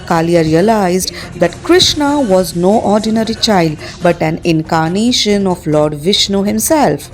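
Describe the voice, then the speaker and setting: A woman's voice: fairly low pitch, lively intonation, unhurried, few pauses, poor audio. A woman narrating a dramatized story for a student production in a scripted storytelling style. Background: music.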